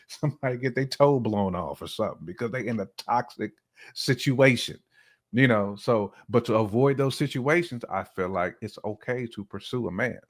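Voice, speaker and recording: A man's voice: mid-range voice, lively intonation, moderate pace. A man talking casually and emphatically as a podcast host, giving his opinions in a conversational way. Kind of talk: casual relationship talk.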